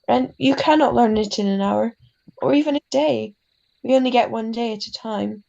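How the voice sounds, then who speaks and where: A woman playing an ailing, gentle, wise mother in a radio drama, speaking tenderly and haltingly. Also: on the high side, gravelly, highs cut off. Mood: tender concern. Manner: tender, halting, philosophical, tags sentences with huh. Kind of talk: classic radio drama acting.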